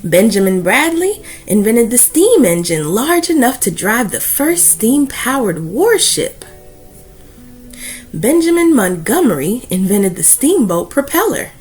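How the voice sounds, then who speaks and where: Expressive, high-voiced woman reading aloud slowly. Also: husky, soft background music. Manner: deliberate, emphatic, with dramatic pauses. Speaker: host of children's book read-aloud series. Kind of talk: expressive read-aloud of a children's picture book.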